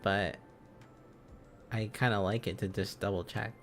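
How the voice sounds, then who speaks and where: A man hosting an informal language-learning livestream, thinking aloud in a halting, casual, self-correcting way. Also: fairly low pitch, steady tone, faint music behind. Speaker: livestream host learning Korean along with viewers.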